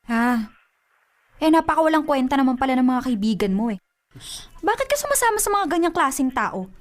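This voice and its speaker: A woman's voice: high-pitched, big pitch swings, brisk. A woman playing a suspicious, sulky young girlfriend in a radio drama, accusing and nagging with a Filipino accent. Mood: indignant. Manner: accusing, indignant.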